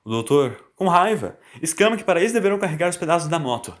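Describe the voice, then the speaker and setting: A man's voice: on the high side, very animated, fast talker. A man with a Brazilian accent reading a scripted, dramatic story as its narrator. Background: music.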